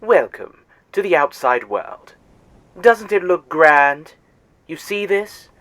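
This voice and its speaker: Man voicing a condescending alien, sarcastic, lively swooping delivery, audio drama. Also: fairly high pitch, slow pace, full character voice. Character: arrogant sarcastic male alien Eternal guide.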